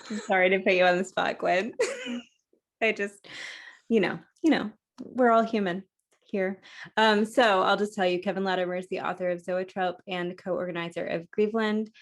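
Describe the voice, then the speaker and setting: A woman's voice: mid-range voice, steady tone, moderate pace. A woman host informally introducing a featured writer at a live literary event.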